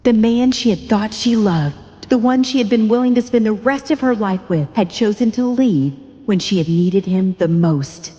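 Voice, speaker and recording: A woman's voice: mid-range voice, normal speed, mellow, big-room sound, dull audio. A woman narrating a dramatic story from a script.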